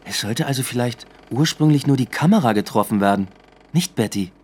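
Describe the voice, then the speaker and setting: An actor playing an inquisitive, attentive police detective in a radio drama, with a questioning manner. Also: male voice, medium pitch, animated, brisk, darkish tone, husky. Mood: probing. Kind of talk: naturalistic radio drama acting.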